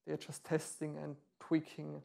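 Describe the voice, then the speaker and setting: A man in his thirties giving a live software demo talk at a meetup, explaining step by step with frequent ums and restarts. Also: fairly high pitch, monotone, unhurried, creaky. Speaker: meetup speaker. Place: meetup room.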